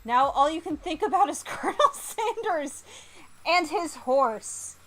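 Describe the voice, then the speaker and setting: High-voiced woman streaming a game. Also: lively intonation, a little slow, low-quality recording. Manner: exclaims loudly in exasperation, mutters while reading. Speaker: VTuber streamer. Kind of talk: live gaming stream commentary, reading game text aloud.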